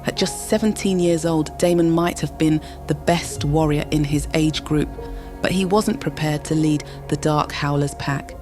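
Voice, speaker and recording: A woman's voice: deep voice, steady tone, normal speed, dark tone. A woman giving scripted, dramatic third-person narration as the storyteller. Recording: music bed behind the voice.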